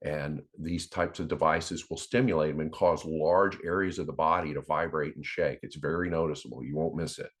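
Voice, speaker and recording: A man with a very deep voice presents an informal demonstration. He speaks in an instructional way to his viewers, often doubling back on words as he explains how things work. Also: steady tone. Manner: halting, repeats words while explaining.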